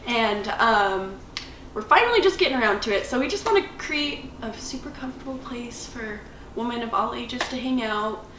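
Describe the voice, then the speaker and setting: A woman's voice: on the high side, animated, normal speed, reverberant, far from the mic, noisy background. A woman around twenty co-hosting a casual vlog, chatty and giggly. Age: 20s. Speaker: channel co-host. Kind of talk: casual chat.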